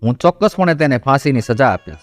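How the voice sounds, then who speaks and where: Man as decisive folk-tale king, animated. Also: mid-range voice, fast, no room sound, soft background music, lightly acted. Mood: stern. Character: commanding, generous male king of a folk tale.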